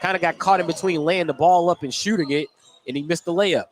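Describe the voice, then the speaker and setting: A man with a fairly high-pitched voice calls a basketball game for radio. He follows the action in short, running phrases over a headset, with faint gym noise behind him. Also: fast. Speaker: play-by-play basketball announcer. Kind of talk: live radio sports commentary. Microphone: headset microphone.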